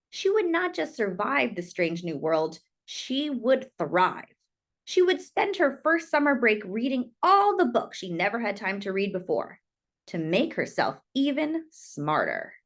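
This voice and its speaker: Animated, high-voiced woman reading a storybook. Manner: dramatic, deliberate phrasing with drawn-out emphasis. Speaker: storyteller reading a picture book aloud. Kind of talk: expressive children's read-aloud narration.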